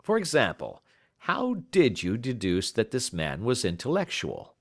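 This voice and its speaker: A man voicing a modest, puzzled gentleman in an audiobook, politely questioning his clever friend. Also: fairly low pitch, wildly swooping pitch, measured pace, full character voice. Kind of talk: audiobook character dialogue.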